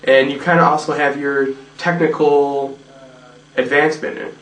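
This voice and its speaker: A man's voice: fairly high pitch, little pitch movement, a little slow, fairly bright tone. A man chatting behind the scenes in an easygoing, offhand way. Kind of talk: behind-the-scenes casual chat. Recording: echoey room, distant mic.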